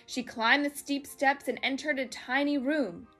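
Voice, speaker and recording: Woman storyteller, high-pitched, bright clear-cut tone, expressive children's reading, dramatic, faint music behind. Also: a little slow.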